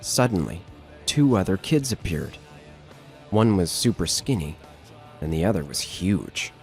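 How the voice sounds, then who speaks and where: Deep-voiced male horror narrator. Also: sing-song, measured pace, faint music behind. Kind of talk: scripted horror story narration.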